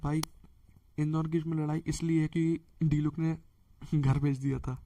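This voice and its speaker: Indian guy streaming games, casual chatter, mellow slightly high voice, faint music behind. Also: little pitch movement, rapid-fire. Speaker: game livestreamer. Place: voice over game audio on a livestream.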